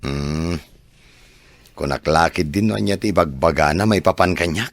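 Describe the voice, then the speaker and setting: An actor playing a calm, patient working husband in an Ilocano radio drama. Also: male voice, low voice, moderate pace. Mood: probing.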